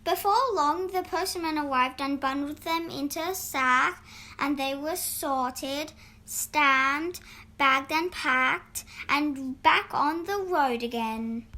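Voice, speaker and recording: A woman narrating a children's storybook in an expressive, deliberate read-aloud style. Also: sits high. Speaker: storybook reader.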